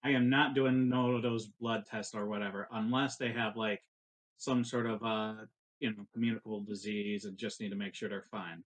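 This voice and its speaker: A man with a fairly low voice talks as a player in a tabletop game. He is informal and rambles a little while laying out actions. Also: flat delivery, normal speed, poor audio. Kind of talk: casual chat about game actions.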